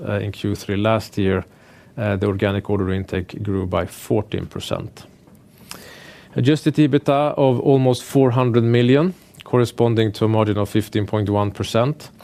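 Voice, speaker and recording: A man speaking as a finance executive presenting quarterly results, in a measured, businesslike and somewhat hesitant way. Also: on the low side, a little mellow. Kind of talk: earnings call presentation, prepared remarks delivered semi-freely.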